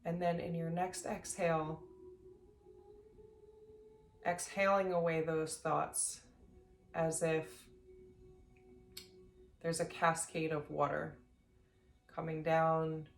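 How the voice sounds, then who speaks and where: A woman leading a guided sleep meditation as an instructor, speaking gently in slow, measured phrases with long pauses. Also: sits low, level tone, vocal fry, a little hiss or hum. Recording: roomy echo, faint music behind.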